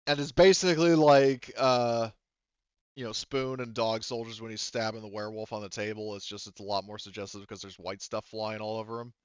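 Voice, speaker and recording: A man's voice: lowish voice, level tone, a little fast, smooth, no room sound, highs cut off. A man narrating a tabletop roleplaying game as game master in a casual way, thinking aloud and swearing freely.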